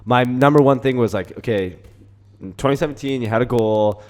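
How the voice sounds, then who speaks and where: A man chatting casually as a guest, telling stories in a slangy, animated way. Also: mid-range voice, brisk, faint music behind. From Canada. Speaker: fighter, podcast guest. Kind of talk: casual chat.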